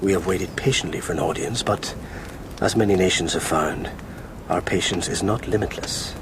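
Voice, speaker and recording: An actor as a proud Roman ambassador, noisy scene. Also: male voice, deep voice, normal speed, close to an everyday voice. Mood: stern, formal. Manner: formal, authoritative. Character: Maximinus, proud, commanding Roman imperial ambassador. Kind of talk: historical radio drama acting.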